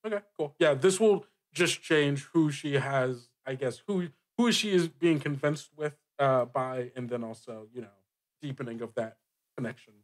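A man speaking as game master, improvising narration and rulings, halting as he thinks aloud. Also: mid-range voice. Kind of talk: improvised tabletop game narration and casual table talk.

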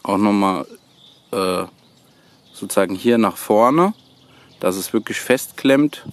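A man giving a hands-on how-to demonstration outdoors, in halting, hesitant phrases. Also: fairly low pitch, animated. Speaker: host of a bushcraft tutorial channel. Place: outdoors on a woodland floor, birdsong behind.

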